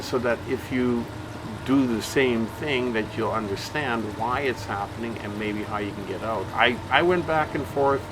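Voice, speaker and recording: A man's voice: mid-range voice, noisy background. A man giving casual gameplay commentary, explaining things in a folksy way and often repeating himself. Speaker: gaming channel host.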